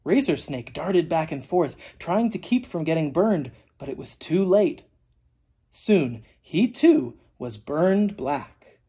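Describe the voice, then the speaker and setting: A husky, mellow voice reads a children's story aloud. The delivery is animated and deliberate, with a lively swing in pitch and dramatic emphasis for young listeners. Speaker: storyteller reading a children's book aloud, teacher. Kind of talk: expressive read-aloud narration for children.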